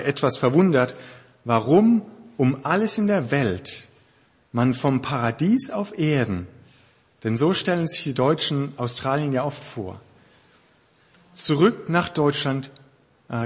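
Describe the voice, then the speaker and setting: A man preaches in a relaxed, conversational manner, stopping now and then to search for words. His voice is slightly husky. A long reverb trails behind him, as in a large church. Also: fairly high pitch. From Germany. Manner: thoughtful, conversational preaching with frequent hesitations. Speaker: pastor. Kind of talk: sermon.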